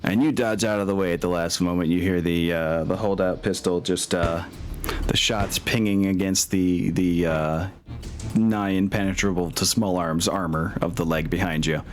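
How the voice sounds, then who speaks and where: A man's voice: deep voice, fairly even intonation, normal speed. A man narrating a tabletop game as game master, descriptive and conversational. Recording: music playing faintly behind the voice. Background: faint music.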